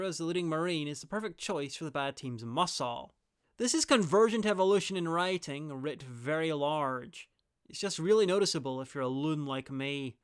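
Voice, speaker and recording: A man's voice: a little high, a bit bright, clean tone, dry recording. A man delivering a scripted review as a video host, with wry, dry asides.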